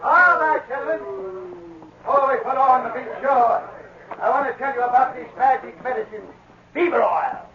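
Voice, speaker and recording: An actor playing a brash medicine show pitchman with loud, folksy, theatrical sales patter in a 1940s radio drama. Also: normal speed, pauses often, a crowd in the background. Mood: showy, hawking. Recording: muffled 1940s radio recording.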